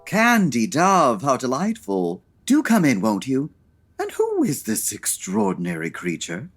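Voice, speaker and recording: Flamboyant, fussy artistic gent, gushing endearments, hugely animated delivery, audio-drama comedy. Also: male voice, mid-range voice, husky, faint music behind, heavily acted. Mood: delighted, gushing. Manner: effusive, affected, drawn-out endearments.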